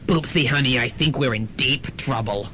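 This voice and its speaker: A man's voice: mid-range voice, moderate pace, sharp tone, lightly acted. A man playing a campy, wisecracking old dame, arch and chatty, in a comic radio drama. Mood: wry, wheedling. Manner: arch, chatty, says honey a lot. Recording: slightly noisy student radio recording.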